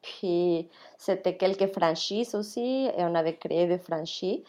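A woman's voice: fairly low pitch, measured pace, mellow. A woman from Mexico with a Mexican Spanish accent, telling her story casually in an interview, chatty, laughing often and slipping in English words. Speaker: interview guest, restaurant worker.

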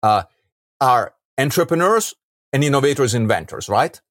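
A man's voice: medium pitch, lively intonation, normal speed, fairly bright tone, no echo, studio quality. A man with an Italian accent speaking conversationally as an interview guest, emphatic and often checking for agreement. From Rome, Italy. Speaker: podcast guest, entrepreneur and author.

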